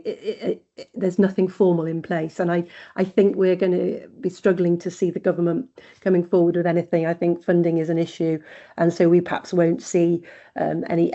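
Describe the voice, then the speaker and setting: Woman researcher, webinar Q&A, earnest and halting, low mellow voice, muffled call audio. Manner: earnest, thinking aloud with frequent fillers and restarts. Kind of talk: conversational answer in an online Q&A. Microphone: video call.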